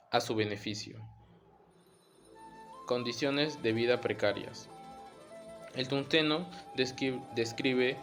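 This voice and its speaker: A man reading scripted educational narration in measured short phrases. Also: medium pitch.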